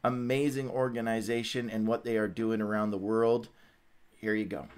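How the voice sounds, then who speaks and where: A man's voice: on the low side, level tone, normal speed, heavy background noise. A middle-aged man hosting a devotional livestream in an informal, conversational, stop-and-start way. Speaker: host of a Bible read-along livestream. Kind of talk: casual devotional livestream talk.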